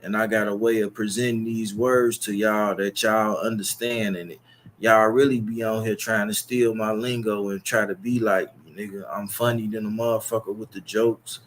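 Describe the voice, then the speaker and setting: A man's voice: fairly low pitch, little pitch movement, moderate pace, slight background noise. A young man with a Chicago accent, talking straight to his viewers in a long, boastful, profane and rambling monologue. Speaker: YouTube streamer.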